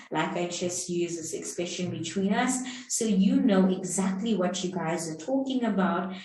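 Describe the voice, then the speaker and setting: A South African woman teaches English at a very unhurried pace, going back over each point. Her voice sits at a middle pitch, and she stands a little away from the mic in a very echoey room. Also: mellow, far from the mic. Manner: slow, explanatory, repeats points. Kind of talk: online lesson.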